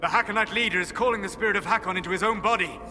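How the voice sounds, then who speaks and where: A man's voice: sits high, rapid-fire, sharp tone, big-room sound, a little hiss or hum. A man playing a grave, knowledgeable mage in a fantasy video game, speaking urgently and precisely.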